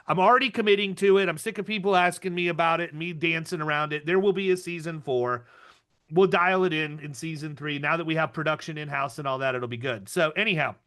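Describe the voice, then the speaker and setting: Bright-voiced energetic male podcast host. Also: a little high, fast talker, clear, resonant tone. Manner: energetic, rambling with restarts. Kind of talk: casual interview chat.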